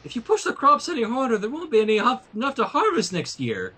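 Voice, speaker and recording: A man voicing a weary old male peasant pony who pleads slowly, in a storybook reading. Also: very high voice, wildly swooping pitch, bright, forceful tone, breathy edge, voice pushed up, full character voice. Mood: pleading. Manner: slow, pleading.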